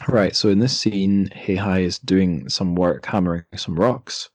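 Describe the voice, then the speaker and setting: A man's voice: deep voice, measured pace, a little mellow, no echo. A man hosting a literary podcast, talking casually and reading passages from a book. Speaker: podcast host.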